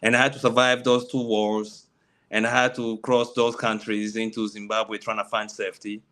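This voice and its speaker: A middle-aged man from the Congo, a native Swahili speaker, telling his refugee story on a video call panel with halting speech and frequent restarts. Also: on the low side, little pitch movement, sharp tone. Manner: halting, many restarts and fillers.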